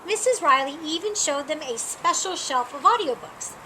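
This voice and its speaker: A woman reading a children's picture book aloud in an expressive, emphatic storytime style, drawing out key words. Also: sits high, normal speed, background noise. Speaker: storytime reader.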